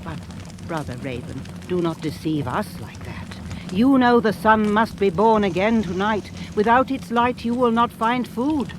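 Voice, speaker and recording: An actress playing a ritual chanter in a 1980s radio drama, intoning poetic spells slowly and intensely. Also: female voice, medium pitch, expressive, noisy background, close to an everyday voice. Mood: intense pleading.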